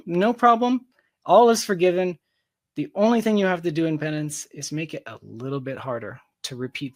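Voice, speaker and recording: A man's voice: a little high, no room sound, studio quality. A man talking casually as an interview guest, explaining his ideas emphatically and repeating phrases for stress. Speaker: interview guest, tech company founder.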